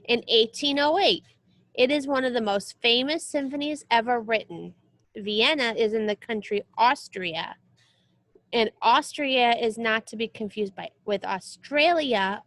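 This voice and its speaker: Lady teacher reading a kids book out loud, high voice, takes her time, explains stuff simply. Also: no echo. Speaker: music teacher reading a children's book aloud. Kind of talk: read-aloud lesson for children.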